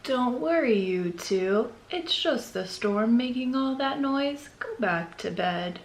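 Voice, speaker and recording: A woman voicing a gentle, reassuring storybook mother in a soothing, patient way. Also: on the high side, lively intonation, takes it slowly, roomy sound.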